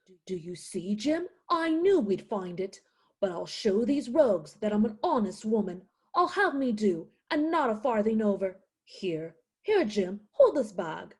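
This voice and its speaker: A woman's voice: mid-range voice, big pitch swings, a little slow, darkish tone, slightly rough. A woman playing a stubborn, indignant poor widowed mother in a theatrical radio play. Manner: indignant, insistent, dramatic.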